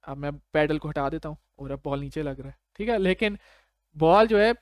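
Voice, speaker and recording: A man's voice: a little high, animated, a little fast, clear, resonant tone, no echo, clean studio sound. A man presenting a programming tutorial in a casual, step-by-step, conversational way, mixing English technical terms into his explanations. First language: Urdu.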